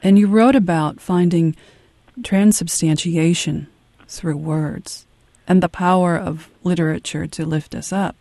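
Soft-spoken, polite interviewer; mid-pitched, dry, close. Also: steady tone, measured pace, no echo. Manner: careful, polite questioning. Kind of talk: interview questions.

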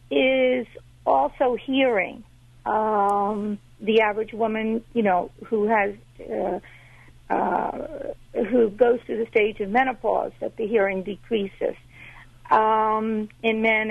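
A woman's voice: vocal fry, dry recording. A woman caller, speaking hesitantly with many fillers. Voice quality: high-pitched. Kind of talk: phone-in question. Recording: tinny phone line.

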